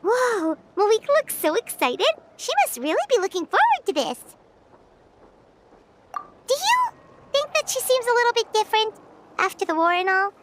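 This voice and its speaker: Childlike game fairy, very high squeaky pitch, animated swoops, perky, chatty, excitable. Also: female voice, moderate pace, long pauses, very noisy, full character voice. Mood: curious, chatty. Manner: excitable, expressive, speaks of self in third person. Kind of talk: video game character voice acting.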